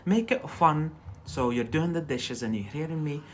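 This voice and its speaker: An English teacher hosting a casual live lesson, speaking haltingly and repeating words as drills while chatting with viewers. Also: mid-range voice, a little hiss or hum. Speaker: English teacher, livestream host.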